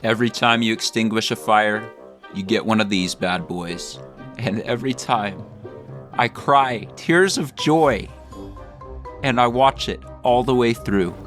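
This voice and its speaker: A man's voice: on the low side, a little slow, fairly bright tone, faint music behind. A man delivering scripted satirical video commentary in a mock-serious way, with exaggerated theatrical emphasis. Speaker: video creator and narrator.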